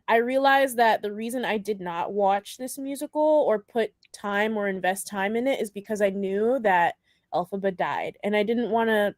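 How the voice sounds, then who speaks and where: A woman's voice: medium pitch, no room sound, studio quality. A woman hosting a podcast, giving her opinions expressively in a casual group discussion. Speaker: podcast host.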